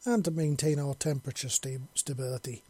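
A nervous human soldier in an audiobook tale, acted by a man. He is deferential and hesitant, answering obediently. His tone is soft-edged and slightly rough, in a very dry recording. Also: medium pitch, steady tone, a little fast, dry recording, lightly acted. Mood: nervous, truthful.

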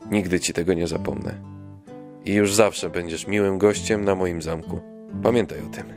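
Guy playing a kind brave king dad in a kids audio story, really low voice, soft music behind. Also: fairly even intonation, moderate pace. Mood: grateful. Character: brave, kind-hearted king and loving father. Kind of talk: children's audio play acting.

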